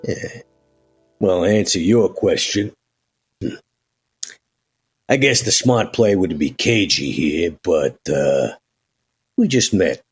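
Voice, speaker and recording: A man voicing a gruff, laid-back male vampire in a tabletop game, gravelly and husky, with slangy, folksy, hesitant phrasing. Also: low voice, animated, slow, long pauses, faint music behind, exaggerated voice. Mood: wry, guarded.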